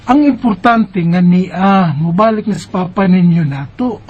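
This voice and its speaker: A woman's voice: on the low side, lively intonation, unhurried, darkish tone, breathy edge. A woman with a Cebuano Filipino accent playing a forgiving, self-sacrificing middle-aged mother in a Bisaya radio drama. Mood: soothing, pleading. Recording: muffled lo-fi radio drama, noisy background.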